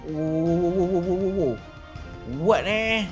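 A Malaysian man hosting a gaming video with excited, exclamatory gameplay commentary. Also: high-pitched, slow pace, music in the background. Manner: exclamatory, animated reactions.

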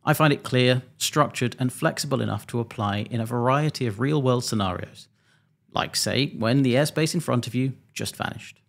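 Guy with a creaky voice doing a pilot explainer, measured and a bit dry, talking close on a desk mic. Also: medium pitch. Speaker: airline pilot and presenter. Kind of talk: scripted explainer narration to camera. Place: small home studio indoors. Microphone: close desk microphone.

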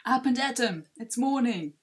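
A woman voicing a small, excitable, cheerful game creature in a squeaky, exclaiming way. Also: normal speed, close to an everyday voice.

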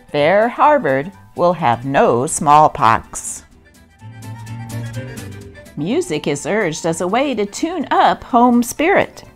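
Female narrator, slightly low voice, expressive theatrical newspaper reading, faint music behind. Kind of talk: scripted narration of old newspaper stories.

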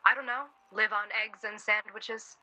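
A woman's voice: medium pitch, fairly even intonation, normal speed, sharp tone, faint music behind, low-quality recording. An actress playing a spirited, dry-humoured young woman of the 1940s in a radio play, speaking matter-of-factly. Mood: dry, teasing.

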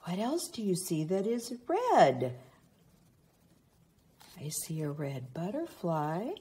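A woman reading a picture book aloud expressively to young children, prompting them with teacherly questions and pauses. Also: lowish voice, big pitch swings, dark tone, breathy edge. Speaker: children's read-aloud reader.

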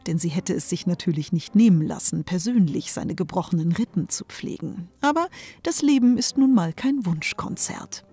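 A woman's voice: fairly low pitch, dark tone, husky, no echo. A woman narrator reading a scripted comic fairy-tale story.

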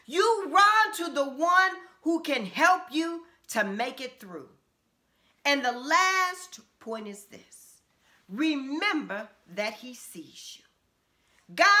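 A middle-aged woman pastor preaching a sermon from a small room at home, with impassioned repeated refrains and drawn-out emphasis. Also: high-pitched, bright, forceful tone.

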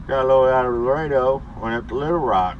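A middle-aged man who drives a truck, chatting casually in a weekly vlog with a laid-back, rambling manner full of pauses and fillers, recorded by a dashboard camera inside his cab. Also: mid-range voice, lo-fi sound, slight background noise. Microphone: camera mounted on the dashboard.

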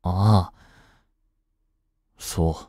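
A man's voice: sits low, steady tone, very slow, warm tone, no room sound, studio quality, exaggerated voice. A young man playing a lovestruck, jealous schoolboy in a romantic voice drama, speaking casually with hesitations and sighs. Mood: teasing, then resolute. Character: lovestruck, jealous teenage schoolboy childhood friend. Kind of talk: Japanese romantic voice drama acting.

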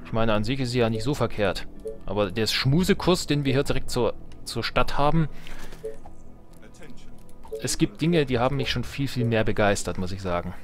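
A man's voice: medium pitch, expressive, normal speed, pauses often, soft background music. A man doing casual gameplay commentary, thinking aloud and muttering playful, wry asides to himself. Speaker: let's player.

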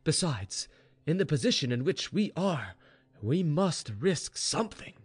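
A man's voice: fairly high pitch, very animated, measured pace, slightly rough, voice pushed up, heavily acted. A man voicing a shrewd young Russian maidservant who counsels her mistress with insistent, reasoned urgency in a storybook reading.

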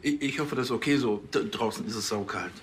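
An actor playing a fussy, self-assured middle-aged police chief in a TV drama. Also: male voice, mid-range voice, fast talker, close to an everyday voice. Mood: awkward, earnest. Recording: some background noise and ambience.

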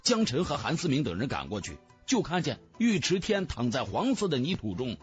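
A man's voice: mid-range voice, wildly swooping pitch, brisk. Male narrator for fantasy audiobook: theatrical, hugely varied intonation, faint music bed. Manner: theatrical, storytelling. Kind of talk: dramatic scripted narration of a fantasy novel.

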